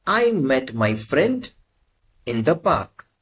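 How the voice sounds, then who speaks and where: Very slow, lively-pitched male teacher. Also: slightly rough. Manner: measured, carefully spaced phrases. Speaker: language teacher. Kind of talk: slow, deliberate lesson prompts.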